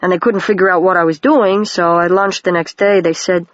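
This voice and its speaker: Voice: mid-range voice, steady tone, fairly quick, no echo, lightly acted. A reader voicing a shy, earnest young boy who is a wizard, in a mildly raised version of their plain reading voice, on a dry, dull lo-fi home recording.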